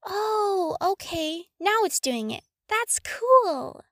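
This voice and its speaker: A woman's voice: very high-pitched, very animated, drawn-out words, dry recording, studio quality, voice pushed up, full character voice. A woman voicing a curious, friendly and helpful schoolgirl in a storybook reading.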